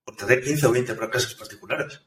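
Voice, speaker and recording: A man, a software developer, talking casually in an interview, thoughtful and hesitant, with many restarts. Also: mid-range voice, fairly quick. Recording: echoey room, distant from mic.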